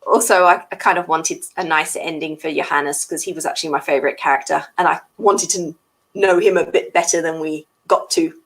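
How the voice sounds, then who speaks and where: A woman's voice: sits low, steady tone, fairly quick. A woman co-hosting a book read-along, giving her views in a casual chat.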